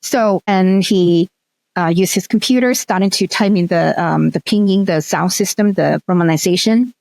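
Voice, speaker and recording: A woman's voice: on the low side, moderate pace, gravelly, darkish tone, dry recording. A middle-aged woman from Hong Kong whose first language is Cantonese, a researcher telling stories as an interview guest, with frequent ums and restarts. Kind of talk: casual interview storytelling.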